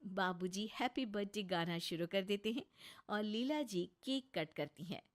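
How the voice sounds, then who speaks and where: A woman's voice: mid-range voice, moderate pace, very noisy. A woman narrating a scripted story as a storyteller.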